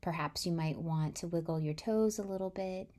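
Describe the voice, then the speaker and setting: A woman guiding a body-scan meditation in a gentle, soothing, unhurried way, softly breathy as phrases trail off. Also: lowish voice. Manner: gentle, unhurried, soothing delivery with long pauses. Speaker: meditation guide.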